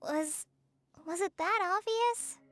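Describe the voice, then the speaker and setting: A woman's voice: extremely high pitch, slow pace, heavily acted. A woman voicing an earnest, troubled young officer with a hesitant manner in an anime video game. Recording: soft game music behind the voice. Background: game music.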